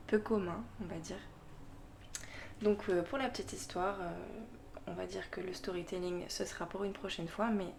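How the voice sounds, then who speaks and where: A woman hosting her own tarot channel, talking unscripted in a slow, hesitant way, full of fillers and restarts, often dropping to a soft, half-whispered voice and chuckling at herself. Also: lowish voice, a little hiss or hum. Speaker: tarot reader and channel host. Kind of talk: unscripted casual vlog monologue.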